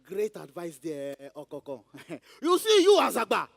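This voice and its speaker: A meddling old busybody on stage. The voice is high for a man and sharp, with self-important, drawn-out, teasing delivery. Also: huge pitch swings, gravelly, heavily acted. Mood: mocking. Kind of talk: theatrical stage comedy acting.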